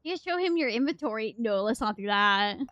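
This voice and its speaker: A woman's voice: fairly high pitch, expressive, brisk, fairly bright tone, lo-fi sound. A woman game streamer giving casual live reactions and commentary as she plays. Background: game music.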